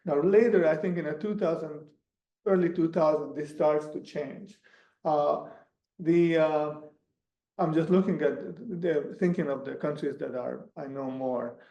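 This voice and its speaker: A man whose first language is Hungarian, a guest speaker answering audience questions in an academic talk, halting with many restarts. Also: a little high, warm tone, muffled recording. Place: lecture room. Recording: echoey lecture room.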